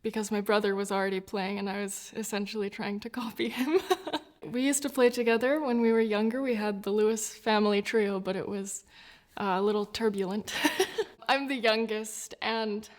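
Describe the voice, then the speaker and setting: Soft-spoken young woman, reflective, laughing often. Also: mid-range voice, steady tone, normal speed. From New Zealand, raised in the United States. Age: 20s. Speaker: violinist. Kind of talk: documentary interview.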